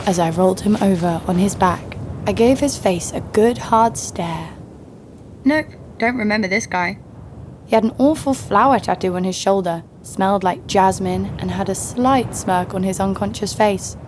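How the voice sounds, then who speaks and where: A woman's voice: medium pitch, moderate pace, noisy background, lightly acted. A woman playing a tough, cynical bounty hunter who narrates her exploits in an audio drama, sardonic and cool. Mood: wry. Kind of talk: first-person scripted drama narration. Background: music.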